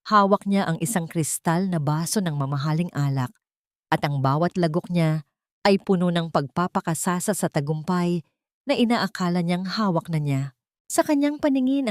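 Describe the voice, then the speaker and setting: A woman's voice: lowish voice, darkish tone, no room sound, studio quality. A woman with a Filipino accent reads a scripted dramatic story as its narrator.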